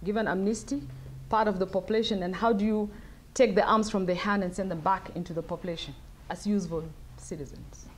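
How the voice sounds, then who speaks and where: A middle-aged woman from South Sudan answering audience questions at a lectern, speaking thoughtfully with many pauses and fillers. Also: medium pitch, fairly even intonation, slight background noise. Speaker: guest speaker. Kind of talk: lecture Q&A answers. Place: wood-paneled event room, at a lectern. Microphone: lectern mic.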